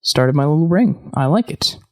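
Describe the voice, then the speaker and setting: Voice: medium pitch, animated, normal speed, natural voice. An actor playing an upbeat, scrappy ex-convict in a post-apocalyptic audio drama, breezy and playfully grandiose. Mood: wistful, matter-of-fact.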